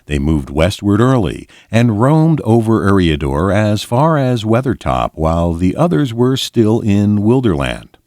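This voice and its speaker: A man reading a storybook aloud as narration, in a measured, expressive storytelling manner. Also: on the low side, no room sound, studio quality. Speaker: volunteer reader.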